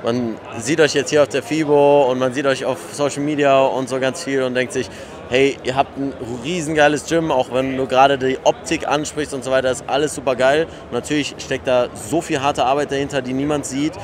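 A man's voice: mid-range voice, fairly even intonation, fairly quick. A man in his twenties asking casual interview questions in an enthusiastic, slangy way into a handheld recorder. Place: large indoor fitness expo hall. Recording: crowd noise in trade fair hall.

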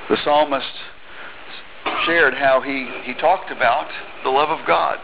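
A man's voice: mid-range voice, fairly even intonation, measured pace, gravelly, dull audio, noisy background. A middle-aged man preaching a sermon in a church on a headset mic, talking conversationally with small restarts and reading scripture aloud. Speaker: pastor. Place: church sanctuary.

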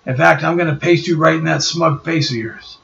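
Man putting on a pushy storybook tough guy, high and loud, surly and taunting; muffled roomy take. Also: steady tone, fairly quick, vocal fry. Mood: angry taunting. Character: Ben the Thing, gruff surly strong man. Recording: dull roomy home recording.

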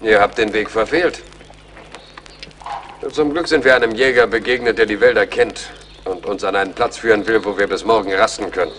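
Man playing an earnest, low-voiced army major. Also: normal speed, fairly bright tone, slight background noise, lightly acted. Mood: resolute. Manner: formal, earnest. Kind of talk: German radio play acting.

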